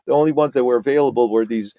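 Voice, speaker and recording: A middle-aged man from Romania, a podcast guest, talking in a halting way with many restarts and repeated words. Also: medium pitch, dark tone, dry recording, lo-fi sound. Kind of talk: conversational interview.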